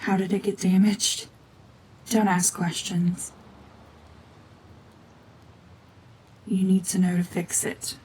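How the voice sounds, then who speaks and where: A woman voicing a cold, mean renegade gorgon witch in a hushed, half-whispered ASMR drama, domineering and sardonic. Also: medium pitch, level tone, unhurried, slight background noise, natural voice. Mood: threatening.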